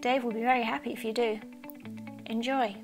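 Higher-voiced woman reading a channel intro. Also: fairly even intonation, a little fast, over music. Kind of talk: casual, short channel intro.

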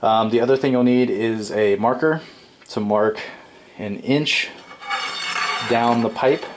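A man talking through a home plumbing repair step by step at his workbench, relaxed and explanatory, often pausing with "um" and correcting himself. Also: fairly low pitch, background noise. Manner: relaxed and explanatory, with frequent ums and self-corrections. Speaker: DIY home repair presenter. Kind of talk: casual hands-on tutorial. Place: indoor workshop at a wooden workbench.

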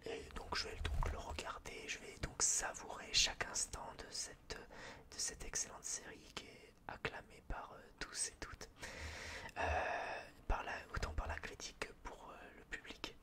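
A person with a French accent whispering in a breathy, unvoiced voice, chatting casually and haltingly in a rambling, self-deprecating relaxation vlog. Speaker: video creator reviewing film purchases.

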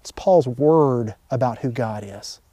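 A man's voice: mid-range voice, takes it slowly, dark tone, dry recording, highs cut off. A middle-aged man giving a short sermon as a pastor, speaking to his congregation. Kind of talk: gentle short sermon with pauses. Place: outdoors in a pine wood.

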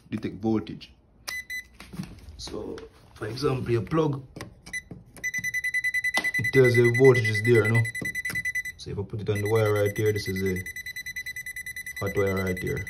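A man's voice: on the low side, background noise. A young man, an HVAC technician, casually walking through his tool bag at home, explaining each tool plainly with fillers, recorded on a phone held close. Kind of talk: casual tool tour walkthrough. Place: indoors at home, wooden floor. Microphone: phone camera held close, filming hands.

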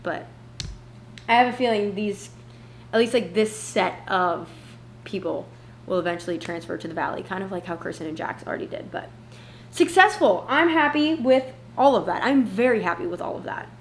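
A young woman in her twenties chats informally to a camera, with a mid-range voice. She sounds like she is vlogging in a roomy home kitchen, with echo around her words and clear background noise. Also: mid-range voice, animated, normal speed. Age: 20s. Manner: chatty, informal, slangy asides. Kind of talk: casual vlog chat, try-on haul. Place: indoors, open-plan home kitchen and living area. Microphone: camera mic a few feet away.

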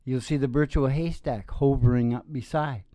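Mellow middle-aged man, folksy and halting. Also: mid-range voice, steady tone, dry recording. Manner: folksy, halting, with trailing sentences. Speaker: podcast host and singing DJ. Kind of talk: rambling, improvised storytelling stage banter. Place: small indoor stage with curtain backdrop. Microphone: stand microphone, close.

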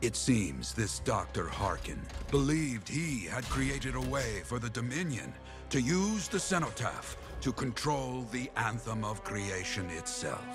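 An actor playing a grave storyteller in a video game, recounting a catastrophe in a dramatic, deliberate way. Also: male voice, medium pitch, lively intonation, natural voice. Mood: ominous. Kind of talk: dramatic game cutscene narration. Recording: loud cinematic music under voice.

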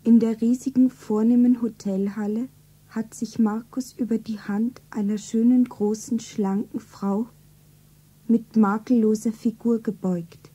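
A young woman's high, very soft and breathy voice. She is a naive, lovelorn shopgirl who tells her own story with plaintive earnestness. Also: fairly even intonation, a little slow, low-quality recording. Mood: wistful, wounded. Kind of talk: stylised radio drama, self-narrating.

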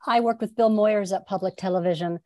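A middle-aged woman explaining her work conversationally as an interview guest, informal and a little halting. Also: medium pitch, dark tone, no echo, highs cut off. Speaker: interview guest, documentary filmmaker. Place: plain room at home, indoors. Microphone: wired earbuds on video call.

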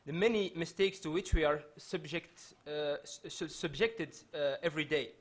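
A man in his thirties, raised in Belgium with Dutch as his first language, giving an earnest, measured lecture from a panel table in a hall, often restarting his phrases. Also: a little high. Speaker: panelist speaker. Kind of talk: public lecture. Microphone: table microphone.

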